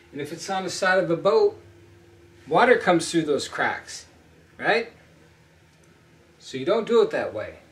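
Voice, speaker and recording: A man's voice: a little high, fairly bright tone, far from the mic. A middle-aged man teaching ship fitting at a whiteboard, blunt and emphatic, repeating points as he talks through the steps. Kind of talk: whiteboard welding lesson. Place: small indoor room at a whiteboard. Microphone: camera mic from a few feet away. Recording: roomy echo, voice off-mic.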